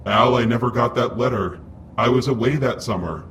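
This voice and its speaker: A man's voice: medium pitch, moderate pace, a bit bright, recorded from a distance, lightly acted. A male actor plays an earnest young hero in an audio drama. His mid-range voice is restrained and apologetic, set back from the microphone with faint noise behind.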